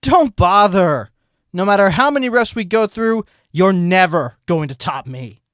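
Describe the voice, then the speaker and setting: A man voicing a cocky aerobics instructor defendant, taunting in a slow, mocking drawl. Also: sits high, expressive, fairly bright tone, dry recording, studio quality. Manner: taunting, drawn-out mocking delivery.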